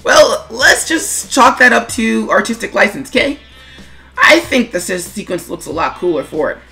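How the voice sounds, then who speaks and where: A woman's voice: fairly high pitch, lively intonation, moderate pace, pushed and bright, soft background music. A woman giving semi-scripted creator commentary in a chatty way, with wry asides and questions put to the listener. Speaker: webcomic creator and narrator.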